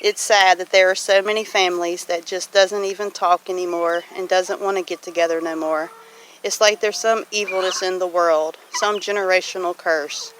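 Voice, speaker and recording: A woman's voice: fairly low pitch, a bit bright, rough recording. A middle-aged woman talking in a plainspoken, folksy, reflective way, answering questions casually for a homestead vlog. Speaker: homesteading vlogger. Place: outdoors on a farm homestead. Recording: outdoor noise with clicks, farm geese honking. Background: farm animals, geese honking.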